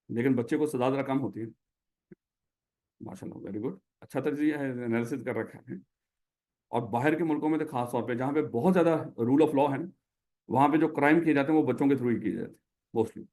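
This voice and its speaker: A man's voice: medium pitch, brisk, pauses often, mellow. A man with a Pakistani Urdu accent teaching a classroom lecture, who explains by putting questions to his students.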